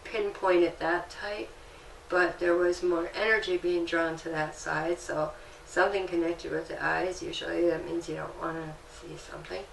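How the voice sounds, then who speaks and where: A woman working as a Reiki practitioner, calmly explaining while guiding a healing session in an indoor room. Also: fairly low pitch, normal speed, gravelly, a little hiss or hum. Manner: calm, explanatory, gently instructive. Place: indoor treatment room with fan. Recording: echoey room, mic at a distance.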